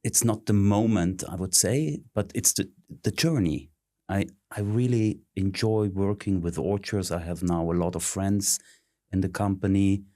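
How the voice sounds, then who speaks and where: Deep-voiced man, halting speech. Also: dark tone. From Switzerland. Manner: halting, many ums and uhs. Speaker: interview guest, search consultant. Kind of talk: conversational interview.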